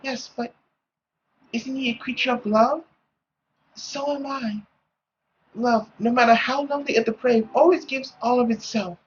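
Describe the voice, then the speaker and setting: A man voicing a timid, gentle young woman, a devoted daughter, soft and halting. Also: extremely high pitch, steady tone, dull audio, a little hiss or hum, pitched above the performer's own voice. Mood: earnest, resolved.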